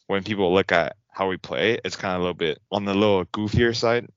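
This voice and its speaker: A young man from Taiwan, a hockey goalie guest on a podcast, easygoing and quick to laugh. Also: low-pitched, clean tone, dry recording, highs cut off. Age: young adult. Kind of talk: podcast interview.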